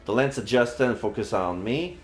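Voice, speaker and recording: A man streaming a read-aloud of a visual novel, narrating in an expressive storytelling style. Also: medium pitch, normal speed. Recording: roomy sound, faint background music.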